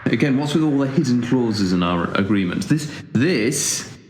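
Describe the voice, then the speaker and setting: A man's voice: medium pitch, animated, moderate pace, darkish tone, slight background noise, close to an everyday voice. A man playing a reluctant, sarcastic recluse in an audio drama, stammering and awkward in a conversational style. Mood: grouchy, protesting.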